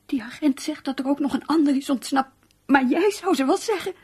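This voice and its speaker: A woman's voice: high-pitched, a little fast, a little mellow, natural voice. An actress in a radio play playing a shaken, fearful wife, fretting and pleading with her husband. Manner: fretful, pleading. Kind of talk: Dutch radio play acting.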